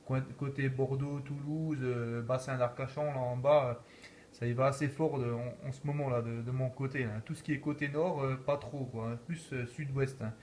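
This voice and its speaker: A man's voice: mid-range voice, fairly even intonation, moderate pace, darkish tone, a little hiss or hum. A man chatting casually as an amateur radio operator, often ending his phrases with little tag words. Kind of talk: casual radio contact chat.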